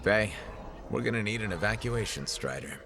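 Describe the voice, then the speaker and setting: Dry easygoing freelancer, lowish voice, lots of pitch swing. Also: male voice, animated, normal speed, noisy background. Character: wry male mercenary freelancer, easygoing.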